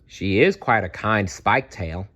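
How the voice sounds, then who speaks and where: A man narrates a children's picture book with a lively, widely swinging delivery. He takes his time over each phrase, keeping the storytelling expressive and easy to follow. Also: fairly low pitch, slightly rough. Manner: animated, unhurried storytelling with small asides.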